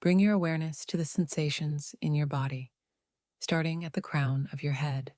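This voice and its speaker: A woman narrating a guided meditation in a soothing, unhurried way, leaving long pauses between phrases. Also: low voice, steady tone, darkish tone, no room sound, clean studio sound.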